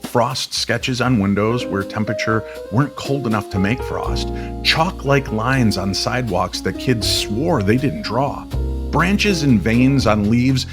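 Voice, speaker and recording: A man narrating an eerie story in old-time radio style, with dramatic, deliberate, suspenseful phrasing. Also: medium pitch, animated, over music.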